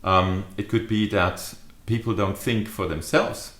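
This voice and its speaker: A man in his fifties giving a reflective, explanatory talk to camera as a leadership adviser, with measured, deliberate phrasing. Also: fairly low pitch, fairly even intonation, fairly bright tone. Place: small furnished study or living room, indoors. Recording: echoey living room.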